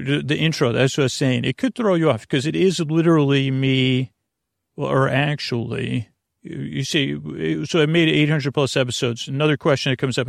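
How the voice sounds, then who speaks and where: Creaky-voiced man rambling gently. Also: mid-range voice, no room sound, clean studio sound. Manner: meandering, tangential, halting; soothing, gentle. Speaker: podcast host. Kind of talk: rambling bedtime podcast monologue.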